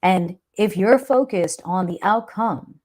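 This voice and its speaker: A woman's voice: mid-range voice, takes it slowly, darkish tone. A woman hosting a live chat, talking earnestly and reflectively about self-doubt and growth, often checking in with a "right?". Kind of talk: motivational live stream talk.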